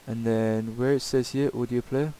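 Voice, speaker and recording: Guy doing a casual screen tutorial, really mellow voice, bit rambly, faint hiss on the mic. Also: medium pitch, steady tone, normal speed. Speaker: tutorial presenter. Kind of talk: casual screen-recorded software tutorial, improvised. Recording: hissy home microphone recording.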